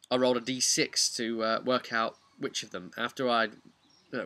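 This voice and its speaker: A man's voice: lowish voice, sharp tone. A man speaking informally as a game master, recounting a tabletop campaign with emphatic asides. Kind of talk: vlog storytelling, informal explanation.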